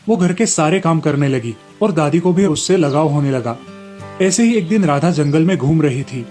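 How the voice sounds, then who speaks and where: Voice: mid-range voice, lively intonation, normal speed, darkish tone, soft background music. A narrator reading a scripted children's story in a storybook manner.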